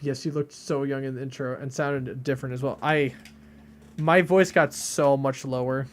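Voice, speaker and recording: A young man in his late teens streaming games and chatting casually, with a deadpan delivery and lots of rambling fillers. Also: medium pitch, normal speed. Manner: deadpan, flat delivery even when joking; rambling, many fillers and restarts. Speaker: game streamer. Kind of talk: casual live-stream chat.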